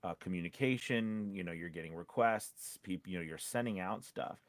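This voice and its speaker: A man's voice: deep voice, normal speed, no room sound. A man co-hosting a casual talk as a voice-over coach, conversational and rambling, repeating points for emphasis. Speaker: podcast co-host and voice-over coach. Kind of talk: casual chat with advice to voice actors.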